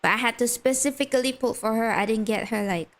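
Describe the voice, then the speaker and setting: A woman's voice: on the high side, fast. A young woman with a Malaysian accent, streaming a game and chatting casually with her viewers while reading game text aloud.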